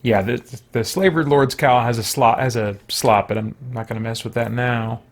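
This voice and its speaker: A man's voice: mid-range voice, fairly even intonation, moderate pace. A man giving casual livestream game commentary, thinking aloud in short remarks. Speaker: video game streamer.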